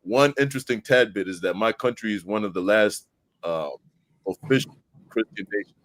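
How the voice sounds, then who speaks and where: A man's voice: lowish voice, moderate pace, fairly bright tone, no echo. A man from Zambia with a Zambian accent, chatting casually as an interview guest and explaining things with frequent tag questions.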